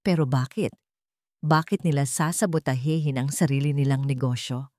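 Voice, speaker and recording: A woman's voice: very deep voice, moderate pace, mellow, no room sound, clean studio sound. A woman with a Filipino accent reading a scripted romance drama as a storyteller, with dramatic delivery. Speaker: audio story narrator.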